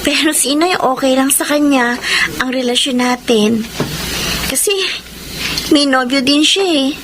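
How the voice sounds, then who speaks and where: A noisy recording holds an obedient Filipino teenage daughter. Her voice is very high and shy, in radio drama style. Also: a little slow. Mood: shy, confiding. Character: shy, obedient seventeen-year-old daughter.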